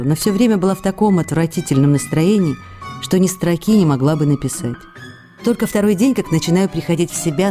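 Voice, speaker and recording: An actress playing a lively, affectionate young actress who reads her letters in a chatty, coquettish way. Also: fairly low pitch, natural voice. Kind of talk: acted reading of letters in a radio play.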